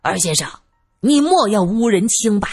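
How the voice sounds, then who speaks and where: Woman voicing an indignant, flustered man, animated pitch. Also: normal speed, breathy edge, faint music behind. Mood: furious. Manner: stern remonstrating, flustered repetitions. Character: indignant grown man defending his honor, easily shaken.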